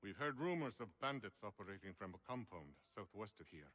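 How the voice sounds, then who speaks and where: A man playing a measured, courteous gentleman scholar with formal speech in a video game. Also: fairly low pitch, sing-song, long reverb tail. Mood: composed. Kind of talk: video game character acting.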